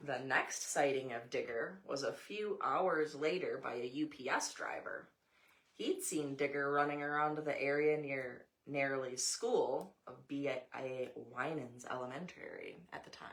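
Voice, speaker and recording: A woman's voice: extremely low pitch, a little slow, low-quality recording. An American woman reads a children's picture book aloud, adding informal asides to a young child. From United States. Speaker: storybook reader, mother.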